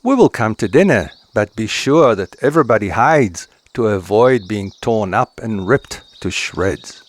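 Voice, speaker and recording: Man as a polite, menacing storybook vixen, lively pitch. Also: measured pace. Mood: warning. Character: female fox, cat's wife, polite yet menacing. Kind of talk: storybook dialogue.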